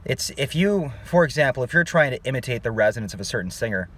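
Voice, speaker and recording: A man's voice: mid-range voice, lively intonation, fast, background noise. A man teaching singing in a casual online lesson, talking to viewers directly and checking in with "okay?" as he goes. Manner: conversational, addresses viewers as "guys", frequent "okay?" check-ins.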